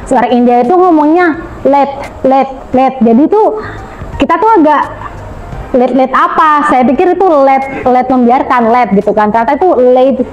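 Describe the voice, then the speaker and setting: A woman from Indonesia talks in a loose, storytelling way as a podcast guest, her voice sitting a little high. She scatters English words through her speech. The recording sounds dull and lo-fi, with faint music underneath. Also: normal speed. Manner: chatty, storytelling, often adds "Kak" to her sentences. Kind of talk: casual podcast chat.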